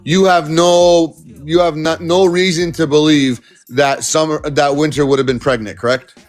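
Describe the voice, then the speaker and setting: Rambling live stream host. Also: male voice, on the high side, fairly even intonation, moderate pace, soft background music. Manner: rambling, many fillers and restarts. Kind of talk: casual live interview.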